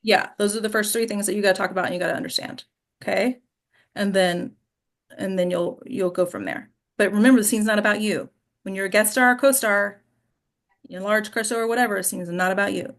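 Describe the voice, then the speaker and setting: A woman's voice: mid-range voice, a little fast. A woman acting coach teaching in a casual question-and-answer style, direct and instructive, often checking understanding with short tag questions.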